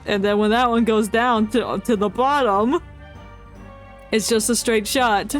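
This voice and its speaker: A woman's voice: fairly high pitch, unhurried. A woman streaming a game with casual, joking live commentary and playful reactions. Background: faint game music.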